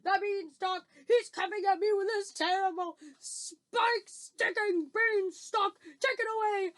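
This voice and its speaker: A woman's voice: very high voice, fairly even intonation, fairly bright tone, voice pushed up. Woman acting a terrified bellowing brute giant. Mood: desperate pleading. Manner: bellowing, wailing and pleading with drawn-out cries. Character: huge brutish man-eating giant, terrified in a nightmare. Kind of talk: children's storybook read-aloud.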